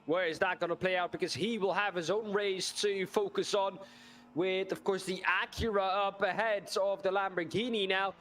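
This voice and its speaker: High-voiced male race commentator. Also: fairly even intonation, moderate pace, a bit bright, slight background noise. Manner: chatty, asks co-commentator questions. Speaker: sim racing commentator.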